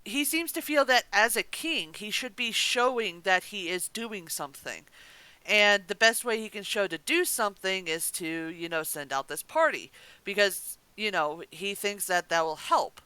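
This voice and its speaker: A woman's voice: mid-range voice, animated, moderate pace, pushed and bright, clear, resonant tone, no room sound, low-quality recording. A woman reviewer giving her opinions in casual discussion. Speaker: podcast reviewer.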